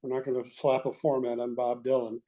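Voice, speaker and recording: An older man reminiscing as an interview guest, telling his stories in a halting, measured way. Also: mid-range voice, little pitch movement, crowd noise, poor audio. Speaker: guest, veteran radio executive.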